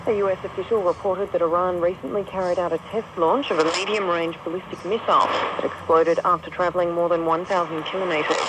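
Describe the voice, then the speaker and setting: A woman with an Australian accent reading a scripted radio news bulletin as a news reader. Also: fairly low pitch. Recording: noisy shortwave radio recorded outdoors. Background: radio static and outdoor ambience.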